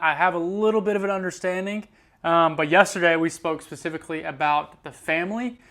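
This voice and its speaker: An easygoing young man from the United States talks straight to camera about his week as a student. His voice sits a little high and comes across smooth and clear. He speaks with an earnest, unhurried manner, slowing down to repeat words he finds hard. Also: fairly bright tone. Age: young adult. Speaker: student vlogger learning a language. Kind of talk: casual vlog to camera.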